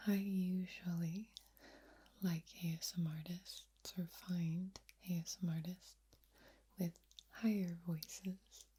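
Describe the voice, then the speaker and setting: Woman, ASMR whisper, very slow, breathy and airy, low voice, playful. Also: flat delivery. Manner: soft whisper, slow and drawn out; playful, repeats words softly. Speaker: ASMR creator and streamer.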